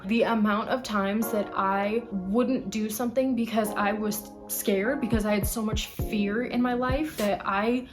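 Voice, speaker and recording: A woman in her late twenties talking casually to camera, chatty and emphatic as she runs through a list of life lessons. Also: medium pitch. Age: 20s. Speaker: vlogger.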